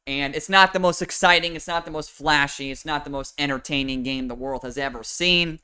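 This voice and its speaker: A man's voice: a little high, a little fast, sharp tone, smooth. A young man with a nasal voice, giving rambling, profane livestream commentary on a football game. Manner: rambling and profane, with many fillers and restarts. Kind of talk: casual livestream sports commentary. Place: home room with a fan. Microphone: desk microphone with noise suppression.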